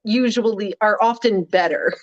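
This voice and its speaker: A woman co-hosting a casual chat show, talking in a wry, chatty way with quick asides and a rather deep voice. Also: lo-fi sound. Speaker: co-host.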